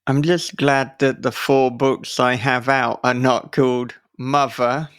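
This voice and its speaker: A man's voice: medium pitch, steady tone, slow pace, fairly bright tone, clear, resonant tone. A man hosting a casual interview podcast, chatting in a jokey, self-deprecating way. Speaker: podcast host.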